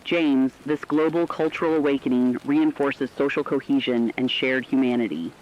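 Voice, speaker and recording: A man playing an earnest radio news correspondent, reading scripted reports in a formal, measured way. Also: mid-range voice, lo-fi sound, lightly acted.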